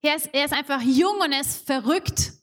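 A woman interpreting a sermon live in German, talking quickly and fluently with a few fillers. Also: sits high, fairly bright tone. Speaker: church interpreter.

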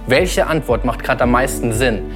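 A man, a parent, slowly reciting rhyming spoken-word poetry. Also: medium pitch. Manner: slow, rhyming delivery. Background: music.

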